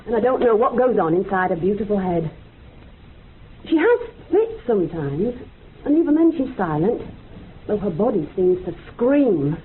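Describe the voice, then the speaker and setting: A woman's voice: mid-range voice, sing-song, normal speed, natural voice. An actress playing a possessive, chatty mother in a radio drama, rambling on insistently and matter-of-factly. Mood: proud, matter-of-fact. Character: chatty, possessive mother showing off her mute daughter. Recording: noisy, phone-like old radio recording.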